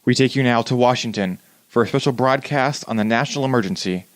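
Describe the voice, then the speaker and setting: A man's voice: mid-range voice, quick pace, dry recording, lightly acted. A man playing a radio news announcer in a 1930s-style drama, reading bulletins in a formal, grave manner.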